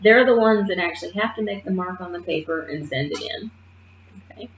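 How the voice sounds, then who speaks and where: A woman teaching a nursing assistant class in a lively, emphatic way, often checking in with her listeners. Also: on the low side, fairly quick, noisy background. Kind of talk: classroom lecture. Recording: muffled roomy classroom recording.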